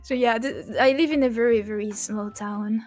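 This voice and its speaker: High-voiced woman, halting casual chat. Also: a little mellow. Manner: halting, repeats words and restarts often. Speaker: VTuber, podcast host. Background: background music.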